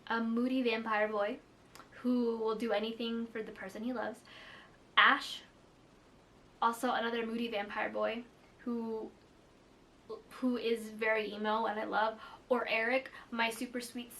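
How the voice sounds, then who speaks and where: A woman's voice: on the high side, fairly bright tone, slight background noise. A young woman from the Philippines whose first language is Tagalog, chatting casually to camera about books, with lots of "like" fillers and self-deprecating asides. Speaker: book vlogger.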